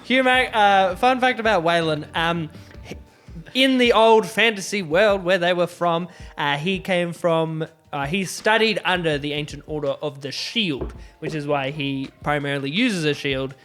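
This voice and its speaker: A man who plays on an improv comedy show, explaining things casually in a hesitant, halting way. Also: fairly high pitch, a bit bright, soft background music.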